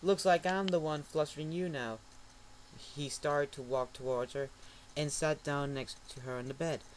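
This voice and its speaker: A man reading a fan story aloud in a mocking amateur dramatic reading, with ironic asides and shouted outbursts. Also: fairly high pitch, fairly even intonation, normal speed, a little hiss or hum. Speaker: reader.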